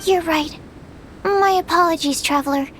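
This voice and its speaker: A woman's voice: extremely high pitch, normal speed, slight background noise. A woman voicing a timid, earnest, apologetic young female alchemist, polite and hesitant, in a video game. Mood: polite, concerned.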